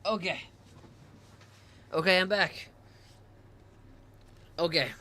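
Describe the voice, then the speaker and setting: A man streaming a game from home on a headset, chatting casually and reading in-game text aloud, tripping over hard names. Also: sits high. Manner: reads lore in a slower, calmer voice.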